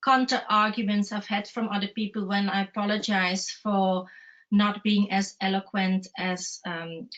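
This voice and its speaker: A woman's voice: medium pitch, fairly even intonation, measured pace, fairly bright tone. A woman whose first language is not English, chatting casually and self-deprecatingly as an interview host. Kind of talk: casual online conversation. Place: remote video call. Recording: dull lo-fi video-call audio.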